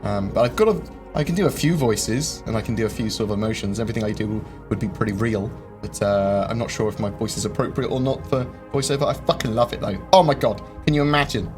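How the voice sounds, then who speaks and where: A man hosting a live-stream Q&A, rambling through viewer questions with lots of ums and quick jokes. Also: on the low side. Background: faint game music.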